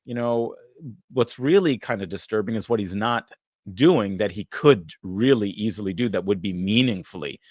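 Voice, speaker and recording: A man moderating a livestream panel discussion, talking in a halting way with many fillers and restarts. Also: on the low side, a little mellow, no echo, studio quality.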